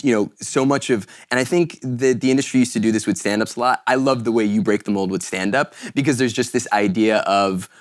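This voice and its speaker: A man guesting on a podcast, talking in an easygoing way that stalls and restarts. His voice is mid-pitched for a man. The sound is dry and close, with no room around it. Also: fairly even intonation, brisk, hardly any pauses, no echo. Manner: easygoing, hesitant, many restarts. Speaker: guest, comedian and actor. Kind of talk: casual interview chat.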